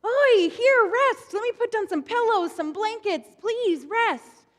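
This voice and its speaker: A woman's voice: extremely high pitch, animated, slow, pitched above the performer's own voice, exaggerated voice. Woman putting on a doting old patriarch. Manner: doting, insistent, Yiddish exclamations. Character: fussy hospitable old man, patriarch. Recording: long hall reverb.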